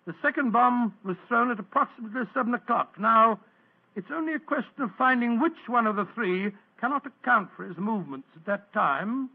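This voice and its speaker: An actor playing an earnest, fussy English doctor in an old radio drama, speaking formally and hesitantly with an English accent. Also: male voice, extremely high pitch, expressive, fairly quick, creaky, exaggerated voice. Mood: businesslike. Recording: muffled narrowband old radio recording.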